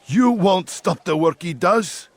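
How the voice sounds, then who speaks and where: A man's voice: high voice, lively intonation, slow pace, dry recording. An actor playing a frightened, guarded henchman in a video game, answering questions tersely and reluctantly. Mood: grudging, fearful. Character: guarded, frightened male henchman under interrogation.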